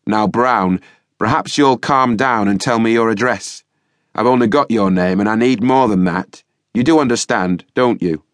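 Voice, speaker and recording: A man's voice: on the low side, moderate pace, dry recording, studio quality, natural voice. A man doing a grumpy demanding police sergeant. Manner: demanding, exasperated. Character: grumpy middle-aged male police sergeant.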